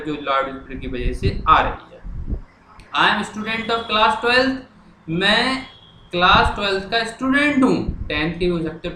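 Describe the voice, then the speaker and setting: A male teacher with a fairly high, bright voice explains material line by line, repeating and translating as he goes. He often asks a question and answers it himself. The room is noticeably echoey, with faint noise behind him. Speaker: English teacher.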